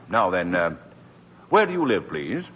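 An actor playing a harried, blustering American prosecutor in 1940s radio drama, brisk and officious. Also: male voice, low-pitched, very animated, fairly bright tone, slightly rough, exaggerated voice. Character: harried, blustering male assistant district attorney. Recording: muffled, noisy 1940s radio recording.

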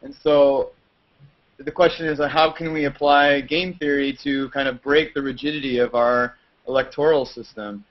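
A man's voice: medium pitch, level tone, moderate pace. A man asking a rambling, earnest question as a student on a video call. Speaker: student caller. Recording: muffled video-call audio.

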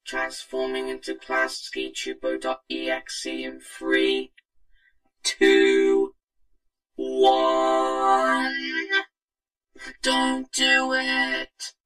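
A synthetic vocoder voice playing an animated talking logo creature in a flat, buzzy, robotic monotone. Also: very high voice, extremely slow, long pauses, over loud music, low-quality recording. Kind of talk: scripted cartoon dialogue through a vocoder.

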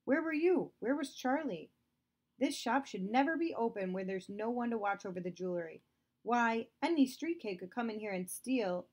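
Lady doing a bossy angry dad, loud and raised up, storybook reading. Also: fairly high pitch, expressive, moderate pace, voice pushed up. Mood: accusing. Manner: accusing, domineering.

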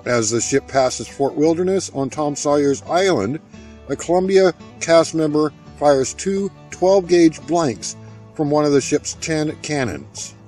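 A man reading a scripted documentary narration in a steady, factual way. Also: on the high side, measured pace, faint music behind. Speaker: narrator of a park history video.

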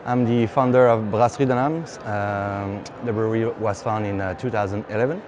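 A man in his thirties with a Quebec French accent, speaking English as a second language in halting sentences full of fillers, interviewed as a brewery founder. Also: fairly low pitch, steady tone, highs cut off. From Quebec, Canada. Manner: halting, many fillers and restarts. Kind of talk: on-camera interview answer. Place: indoor beer festival hall. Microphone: lapel mic. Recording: hall noise behind.

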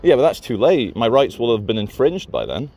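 A man's voice: medium pitch, sing-song, a little fast, mellow. A man from Scotland, filming himself outdoors on a handheld phone, gives teasing, chatty commentary and argues politely. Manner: playful, teasing, politely argumentative. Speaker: vlogger filming a confrontation. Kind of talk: chatty, joking running commentary to camera. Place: outdoors by a gate and car park. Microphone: handheld phone camera.

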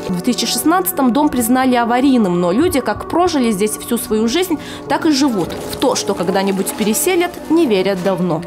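A woman's voice: on the high side, sing-song, brisk, few pauses, music in the background. A woman reading a scripted news report as a television correspondent.

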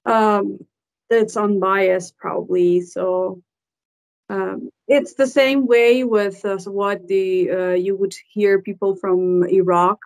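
Young woman podcast host, average pitch, casual and kinda tentative, chatting on a video call. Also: steady tone, takes it slowly, rough recording. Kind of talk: casual conversational interview. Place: indoor room, video call.

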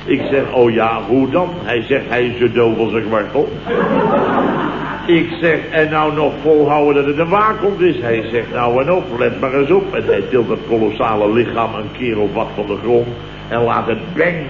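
An actor playing a grumbling, indignant boss with a Dutch accent, blustering sarcastically in 1970s radio comedy style. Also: male voice, medium pitch, natural voice. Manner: blustering, exclamatory, sarcastic storytelling. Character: grumbling, indignant male boss recounting his mishaps. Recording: noisy, dull, roomy old radio recording.

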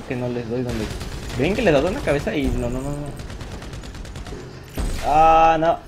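A man talks over his online game in an excitable, self-mocking way. He laughs often and jokes about his own mistakes. The sound of the game plays clearly behind his voice. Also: medium pitch. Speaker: gaming youtuber. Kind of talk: live gameplay commentary. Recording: game audio noise behind the voice.

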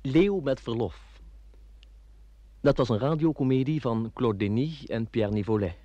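A man's voice: mid-range voice, a little slow, dark tone, a little hiss or hum. A man working as a radio announcer, formally reading scripted credits in an old broadcast style. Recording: dull old radio recording.

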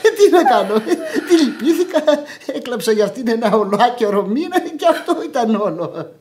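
An actor playing a fussy old widowed manservant in radio theatre, exclaiming and coaxing in a high, thin voice. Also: male voice, big pitch swings, darkish tone. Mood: coaxing, exasperated. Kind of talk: Greek radio theatre acting.

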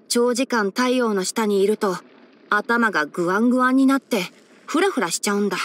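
A woman voicing a boyish young male fox-eared forest ranger and scholar in an anime game, instructive and frank with a gently teasing manner. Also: fairly high pitch. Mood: matter-of-fact. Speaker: character voice actor. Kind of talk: anime game character voice lines.

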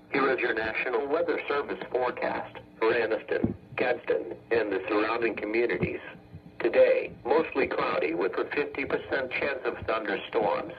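A synthetic male text-to-speech voice reading an automated weather bulletin as a radio announcer, in measured, formulaic segments. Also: mid-range voice. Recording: muffled weather radio broadcast.

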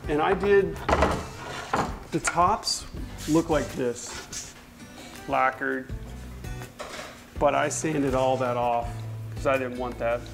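Gravelly man chatting through a staining job. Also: medium pitch, slow, pauses often. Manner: relaxed, rambling, self-correcting mid-sentence. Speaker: furniture refinisher. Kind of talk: casual how-to narration while working. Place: indoor workshop at a paint-spattered table. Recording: music playing under the voice. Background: music.

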